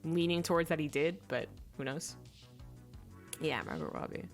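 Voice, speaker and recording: A woman's voice: low-pitched, normal speed, faint music behind. A young adult woman casually reviewing films, rambling and stopping to search aloud for names. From native English speaker. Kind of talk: casual film review chat.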